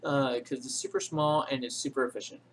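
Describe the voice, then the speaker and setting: Guy rambling thru a game tutorial, mid pitch, lots of ums, a bit of hiss behind. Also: fairly even intonation, a little fast, a bit bright. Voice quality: says he has a boring voice. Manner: rambling, unscripted, many ums and self-corrections. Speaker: game tutorial channel host. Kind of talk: casual screen-recorded game tutorial.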